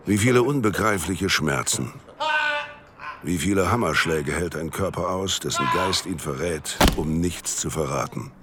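A man's voice: deep voice, a little slow, very noisy. An actor playing a cynical, worldly male narrator in a radio drama, speaking in a sardonic, knowing way. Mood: brooding. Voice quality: gravelly, sardonic. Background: music.

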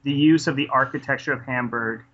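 A man's voice: mid-range voice, steady tone, fairly quick, a bit bright, husky. A man casually reviewing a film in conversation, enthusiastic and rambling, often restarting his sentences. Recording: dull lo-fi podcast audio.

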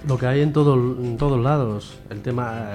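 A man's voice: medium pitch, normal speed, darkish tone, soft background music. A man from Western Sahara explaining politics as a radio interview guest, often posing questions and answering them himself. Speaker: guest, member of a Sahrawi association.

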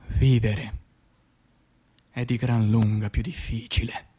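A man, an actor, reciting poetry in a declamatory, impassioned theatrical style that swings between hushed and loud. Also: medium pitch, little pitch movement, slow, husky. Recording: music under the voice. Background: music.

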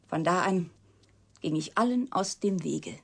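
An actress playing a reclusive, wounded young woman scientist in a radio drama, guarded yet confiding, speaking breathily and deliberately, often sinking to a whisper. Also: lowish voice, expressive, vocal fry, heavily acted. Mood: bitter, wounded. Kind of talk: German radio drama acting.

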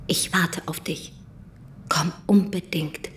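A woman's voice: fairly low pitch, fairly even intonation, slow pace, in a whisper. An actress playing a passionate, anguished married woman in a secret affair, intimate and urgent, in a radio drama. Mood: secretive, whispering.

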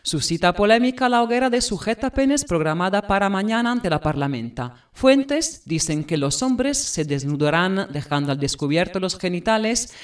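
An actress plays a formal female news presenter in an audio drama. She reads the bulletin in a mid-pitched voice, a little away from the microphone. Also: animated, normal speed, hardly any pauses, recorded from a distance, close to an everyday voice. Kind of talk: scripted news reading.

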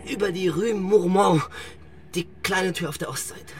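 An actor playing a defiant but insecure young petty thief, sullen and slangy, in a German crime radio play. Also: male voice, high-pitched, moderate pace, slight background noise. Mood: cornered.

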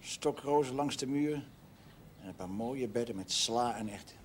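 An actor playing a plain-spoken villager in a naturalistic television drama, talking informally in short phrases. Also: male voice, mid-range voice, normal speed, a little hiss or hum, lightly acted. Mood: eager. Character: plain-spoken, eager villager offering garden work.

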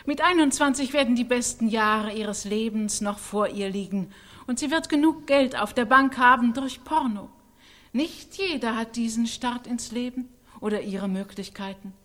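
An actress as an assured woman in a radio play chorus. She declares bright prospects in a fairly high voice, line by line. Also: moderate pace, natural voice.

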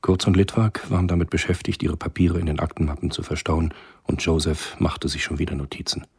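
An actor as a grave, detached storyteller, giving measured literary narration in a radio drama. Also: male voice, level tone, darkish tone, full character voice. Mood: reflective. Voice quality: very deep, very husky. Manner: measured, understated.

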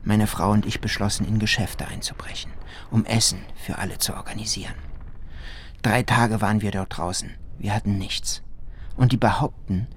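A man's voice: lowish voice, steady tone, normal speed, close to an everyday voice. An actor playing a weary, indignant husband from New Orleans who survived a flood, telling his story as radio drama testimony. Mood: indignant, bitter. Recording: faint noisy ambience behind the voice.

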